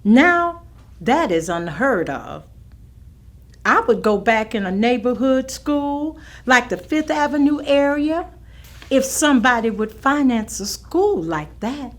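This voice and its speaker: An actress playing a firm, caring older schoolteacher who tells her recollections in an emphatic, measured way in a staged reading. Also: a little high, big pitch swings. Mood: firm, opinionated. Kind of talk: staged reading of oral history testimony.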